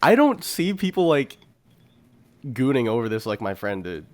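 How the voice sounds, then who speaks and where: A man's voice: medium pitch, sing-song, normal speed, no room sound. A young man co-hosting a podcast, chatting casually and telling stories in informal, slangy speech. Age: young adult. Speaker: podcast co-host. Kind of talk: casual chat.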